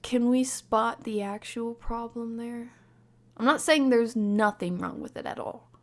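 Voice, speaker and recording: A woman hosting a livestream, giving casual, reflective commentary and talking with her chat in a conversational, measured way. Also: fairly high pitch. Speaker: streamer, host.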